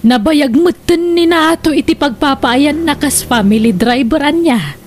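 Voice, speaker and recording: A woman's voice: sits high, lively intonation, measured pace. A Filipino woman playing a chummy, inquisitive village gossip in a comedic radio drama, chatty and full of interjections. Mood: curious. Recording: faintly noisy radio drama track.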